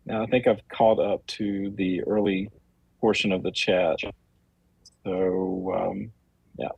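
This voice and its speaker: Man, livestream host, gravelly and rough, unscripted, hesitant, apologetic, dry close sound. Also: on the low side, a little mellow, no room sound, low-quality recording. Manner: halting, apologetic. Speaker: livestream host, comic creator. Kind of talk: unscripted livestream chat, reading viewer comments.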